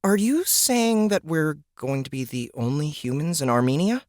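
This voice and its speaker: A reproving, easily annoyed mother voiced by a woman speaks plainly in audiobook style. Her intonation is expressive and the recording is dry. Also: sits low, moderate pace, dry recording. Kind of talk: audiobook character acting.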